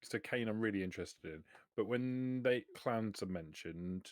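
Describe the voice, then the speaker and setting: A man's voice: on the low side, moderate pace, no echo. A man chatting casually as a player in a tabletop roleplaying game, with a dry joking manner.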